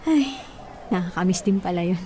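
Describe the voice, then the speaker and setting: A woman's voice: on the low side, animated, moderate pace, warm tone, a little hiss or hum, close to an everyday voice. An actress playing an affectionate, hurt young wife and mother in an emotional, pleading Filipino radio drama style. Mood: fond, wistful.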